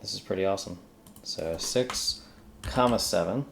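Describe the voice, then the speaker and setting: Male screencast host, low gravelly register, informal think-aloud delivery with self-directed muttering, light background noise. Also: moderate pace, a bit bright. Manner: mutters to self while working. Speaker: screencast host working through a coding task. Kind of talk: casual think-aloud coding tutorial.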